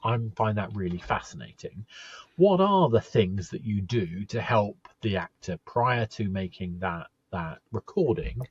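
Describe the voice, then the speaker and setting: A man with a low, slightly husky voice hosts a casual podcast chat, thinking aloud and stumbling over his first words. The audio is dull and dry, like a remote call. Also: animated, dry recording. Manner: thoughtful, halting, repeats words when starting sentences. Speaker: podcast host. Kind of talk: casual conversational interview.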